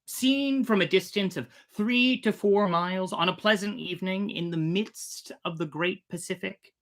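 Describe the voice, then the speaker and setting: An enthusiastic storytelling host with a mid-pitched voice. The delivery is informal and personable in the way of a host addressing followers, with a storyteller's sense of drama. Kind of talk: chatty intro and dramatic reading of an old sea tale.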